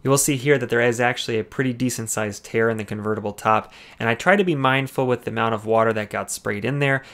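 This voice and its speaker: A man talks quickly through a car detailing job, walking viewers step by step through the wash and offering tips. His voice has a gravelly edge. Faint music plays underneath. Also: mid-range voice, a bit bright. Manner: explanatory, tip-giving. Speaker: car detailing channel host. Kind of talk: instructional voice-over narration.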